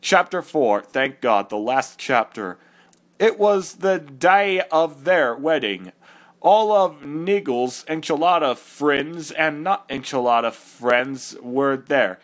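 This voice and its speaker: An irritable cartoon echidna performed by a man with a high voice and a measured, slow pace. He gives a comedic dramatic reading of fan fiction, scornful and exasperated with what he has to read. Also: expressive. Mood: grudging narration. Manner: indignant, scornful, easily exasperated.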